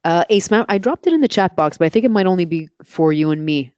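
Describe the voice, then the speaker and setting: A woman's voice: fairly low pitch, animated, fast talker, a little mellow, clean tone, dry recording, highs cut off. A woman with a voice suited to radio, answering questions as a guest expert in a live webinar and explaining her methods step by step. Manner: practical, explains in steps with self-corrections.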